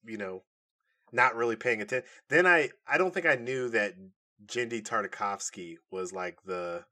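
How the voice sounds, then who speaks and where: A man's voice: medium pitch, moderate pace, sharp tone. A man with a mid-range voice talks as a podcast co-host. His style is casual and conversational, like informal chat between friends reviewing entertainment news.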